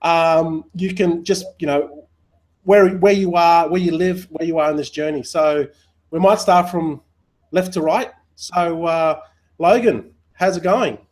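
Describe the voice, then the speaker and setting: A man's voice: fairly high pitch, fairly even intonation, measured pace, a little mellow. A man hosting a live webinar, talking loosely with lots of fillers and a friendly manner. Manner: rambling, many fillers, friendly. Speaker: webinar host.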